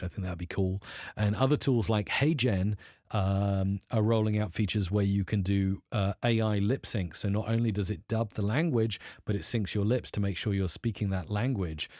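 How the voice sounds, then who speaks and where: A man's voice: on the low side, mellow, dry recording, clean studio sound. A man hosting a live stream, chatting casually and answering viewers, rambling upbeat with lots of fillers.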